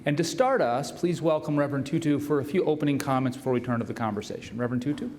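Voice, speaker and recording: A man's voice: medium pitch, fast, a little mellow. A man who is a university president, giving an earnest, conversational welcome speech and introducing the speaker. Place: large hall. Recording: roomy hall reverb, faint noise.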